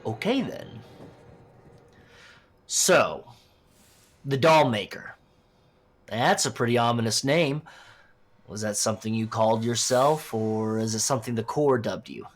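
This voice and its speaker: A man's voice: mid-range voice, measured pace, pauses often, natural voice. A man playing a flustered, underprepared agent in an audio drama, hesitant and muttering as he conducts an interview. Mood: nervous, dutiful. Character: anxious, underprepared male agent interviewing dangerous entities. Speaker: field interviewer. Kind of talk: scripted audio drama dialogue.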